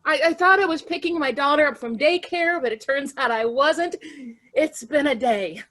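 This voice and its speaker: An actress reading a harried woman in her fifties, rushed and flustered in a play reading over a video call. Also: high voice, fairly bright tone. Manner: breathless, rushed, self-correcting.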